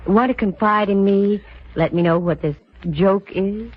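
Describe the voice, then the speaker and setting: An actress playing a wry, poised, quick-witted lady private eye in 1940s radio detective style. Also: medium pitch, lightly acted. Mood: cool, probing. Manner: wisecracking, poised. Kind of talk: 1940s radio detective drama acting, with narration. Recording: tinny, noisy old radio recording.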